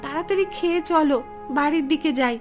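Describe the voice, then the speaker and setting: Woman playing a brisk, high-voiced village woman. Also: soft background music, natural voice. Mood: matter-of-fact. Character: brisk, caring, nagging younger village woman. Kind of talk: cartoon story dialogue.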